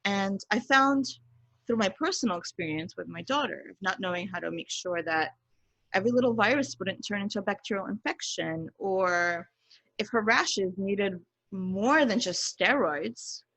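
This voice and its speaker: A woman speaking as an expert guest in an interview, explaining in an earnest, measured way with emphasis on key words. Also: mid-range voice, lively intonation, fairly bright tone, no room sound, low-quality recording. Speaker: podcast guest, pediatrician.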